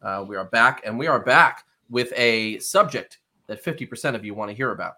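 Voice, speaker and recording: A man's voice: medium pitch, lively intonation, a little fast, pushed and bright. A man co-hosting a casual podcast, upbeat and jokey, often laughing as he talks. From Rhode Island, USA. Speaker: podcast co-host.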